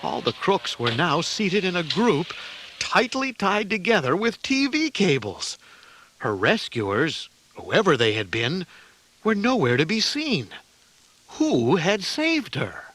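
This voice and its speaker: A man narrating a scripted children's adventure story in a dramatic, deliberate storytelling manner. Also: sits high, wildly swooping pitch. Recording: loud music under the voice.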